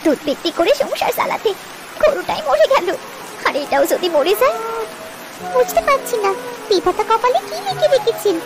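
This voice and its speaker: A woman voicing a high-pitched anxious village cartoon girl. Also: big pitch swings, normal speed, rough recording. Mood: worried, sorrowful. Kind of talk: Bengali moral cartoon dialogue.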